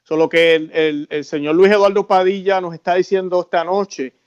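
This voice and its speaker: A man's voice: sits high, steady tone, moderate pace, clear, resonant tone, dull audio. A man hosting an interview, recapping points for viewers and checking in with tag questions. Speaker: host.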